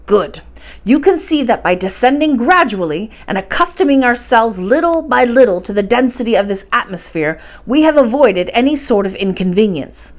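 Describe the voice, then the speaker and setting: A woman's voice: fairly high pitch, big pitch swings, normal speed. A woman voicing an irascible, confident older professor uncle from a storybook, speaking emphatically and brusquely as he lectures. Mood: brisk, instructive.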